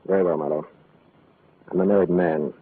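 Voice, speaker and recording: Old tinny radio play, curt rich businessman, lowish voice, guarded. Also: steady tone, brisk, slightly rough. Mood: confessional. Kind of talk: 1940s radio acting. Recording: thin, noisy old radio recording.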